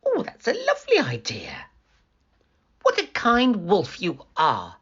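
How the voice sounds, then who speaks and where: A woman's voice: fairly low pitch, huge pitch swings, takes it slowly, a bit bright, slightly rough, dull audio, pitched above the performer's own voice, full character voice. A woman voicing a sweet, trusting little girl in a storybook, wide-eyed and earnest. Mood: cheerful, trusting.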